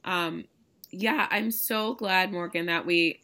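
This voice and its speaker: A woman hosting a podcast in casual, chatty conversation, her voice raspy and hoarse from a recent illness. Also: lowish voice, slow, pushed and bright. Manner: chatty, laughing easily, enthusiastic. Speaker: podcast host. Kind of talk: casual chat.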